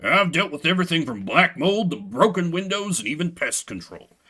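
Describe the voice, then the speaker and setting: A man voicing a solemn, grandiose janitor from a video game, speaking formally and gravely as if chores were military duty. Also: a little high, sing-song, normal speed, bright, forceful tone, heavily acted. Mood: grave, dutiful. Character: solemn, grandiose adult male janitor devoted to maintenance.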